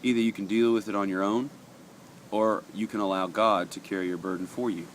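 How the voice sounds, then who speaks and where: A man's voice: lowish voice, steady tone, a little fast, a little hiss or hum. A man giving an earnest, conversational daily devotional, reading passages aloud and adding his own thoughts, sniffly from allergies. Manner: earnest, conversational, with frequent uh fillers and restarts.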